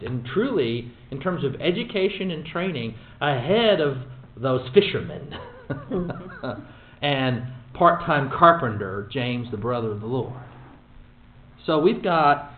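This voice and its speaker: A man teaches scripture with a lively, swooping delivery, leaning hard on his points and throwing in wry asides. His voice sits a bit high for a man. It comes through a narrow, phone-like line in an echoey room. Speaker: Bible teacher. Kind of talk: animated Bible study teaching.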